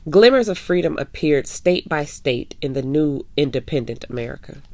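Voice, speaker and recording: Female storyteller for young listeners, low register, measured read-aloud with stretched key words, close dry sound. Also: lively intonation, darkish tone, dry recording. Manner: addresses young listeners, measured with drawn-out emphasis. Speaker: host and reader of a children's story time. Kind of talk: read-aloud storytelling of a children's picture book.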